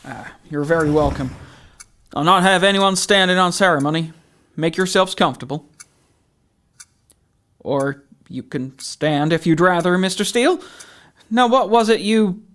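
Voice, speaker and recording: Man playing a high-voiced, officious presidential aide. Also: a little slow, fairly bright tone. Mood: courteous. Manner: formal, polite, fussy, faltering when challenged. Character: courteous, flustered male presidential aide, officious. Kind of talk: fan-made audio drama acting.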